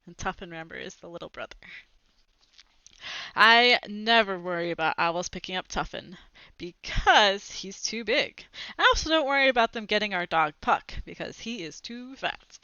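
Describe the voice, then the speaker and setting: A young woman reading a storybook aloud into a headset mic, expressive, with brief explanatory asides. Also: medium pitch, moderate pace, smooth, no echo. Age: young adult. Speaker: read-aloud presenter. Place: small room at home, indoors. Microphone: headset boom mic. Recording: slightly dull, lo-fi headset sound.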